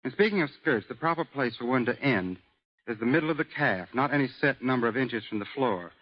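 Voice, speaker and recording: Man, radio guest expert, measured scripted delivery, average pitch, dull old broadcast recording. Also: expressive. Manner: measured, matter-of-fact, reads from script.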